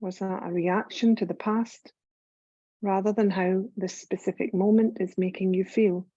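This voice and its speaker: A woman guiding a scripted meditation as a yoga nidra teacher, calm and soothing, unhurried, with long pauses between phrases. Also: mid-range voice, slightly rough, no echo, highs cut off.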